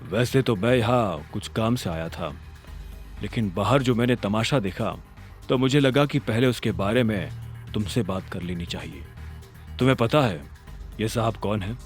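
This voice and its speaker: A commanding male boss played by a man in an audio drama. He speaks low and measured, with calm authority and quiet menace, over soft music. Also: natural voice. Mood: composed, knowing.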